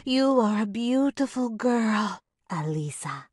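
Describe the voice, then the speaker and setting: Breathy, tender remembered mother. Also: a little high, animated, takes it slowly, darkish tone, no echo, clean studio sound, soft background music, heavily acted.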